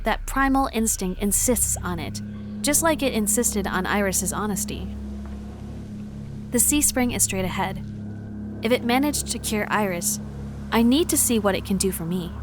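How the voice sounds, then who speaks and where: A woman's voice: medium pitch, close to an everyday voice. Woman acting a wary traveler, narrating over music. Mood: confused, resolute. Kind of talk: dramatic first-person visual novel narration.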